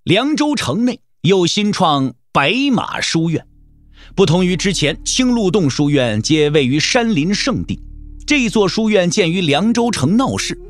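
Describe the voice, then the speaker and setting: A man's voice: high-pitched, sing-song, measured pace. A man narrating a martial-arts audio drama in a storytelling style. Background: soft background music.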